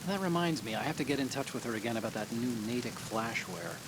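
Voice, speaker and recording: A man plays an earnest young engineer briefing a soldier by radio in a video game. He talks through details in an eager, explanatory way at a middle pitch. Loud rain noise fills the background. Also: expressive, fast, lightly acted.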